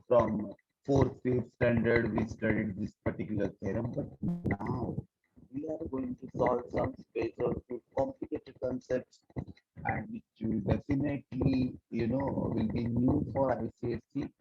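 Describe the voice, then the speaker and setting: A man's voice: mid-range voice, gravelly, dark tone, lo-fi sound, slight background noise. A man with an Indian English accent teaching a geometry lesson in an online class, repeating key points and mixing in Hindi phrases. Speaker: math teacher. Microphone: earphones mic on a video call.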